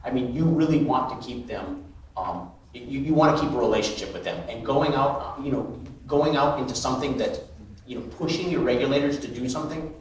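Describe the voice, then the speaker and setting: A man on a conference panel, talking in a halting way with many restarts. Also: medium pitch, distant mic, slight background noise. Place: large conference hall. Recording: echoey hall, mic from afar.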